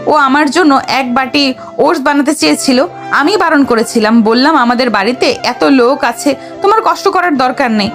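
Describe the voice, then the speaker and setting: A woman's voice: sits high, moderate pace, faint music behind. A woman playing an assertive, haughty young newlywed heiress in an audio story. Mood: sweet, coy. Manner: assertive, coy with elders, sharp with husband. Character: haughty, bossy young heiress, newly married, sweet-talking her grandfather.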